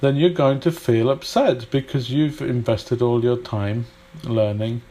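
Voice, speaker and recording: A man's voice: medium pitch, fairly even intonation, unhurried, mellow. British man, accent tinged with Polish. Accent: British English with Polish influence. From Britain. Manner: rambling, hesitant, many fillers and restarts. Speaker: English teacher. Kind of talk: informal video lesson.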